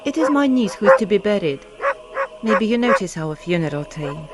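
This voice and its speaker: A woman acting in a gothic radio drama as an ingratiating, ominous mourning peddler with halting, old-world phrasing. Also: mid-range voice, big pitch swings, heavy background noise, natural voice. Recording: loud barking dog behind the voice.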